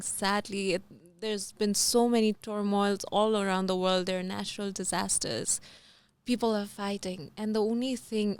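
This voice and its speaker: A young woman from Nepal, a pageant titleholder being interviewed, talking in an animated, earnest and proud way. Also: mid-range voice, smooth. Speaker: podcast guest, pageant titleholder. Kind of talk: casual interview chat mixing languages.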